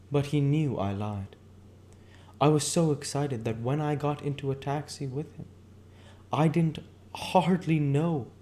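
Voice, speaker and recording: A man's voice: mid-range voice, mellow, pitched above the performer's own voice, lightly acted. A man voicing a brash, gushing, affected married woman in a dramatic, gossipy way, reading from a novel. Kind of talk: character voice in an audiobook reading.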